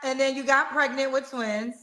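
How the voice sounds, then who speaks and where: A woman's voice: sits high, steady tone, moderate pace, a crowd in the background, poor audio. A woman interviewer asking probing, incredulous questions of her guest.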